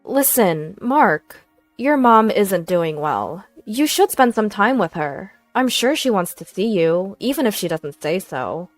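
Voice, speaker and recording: A woman's voice: medium pitch, sing-song, unhurried, no echo, soft background music. Woman acting an earnest, devoted wife. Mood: pleading. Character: devoted, pleading young wife. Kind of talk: acted dialogue in narrated story.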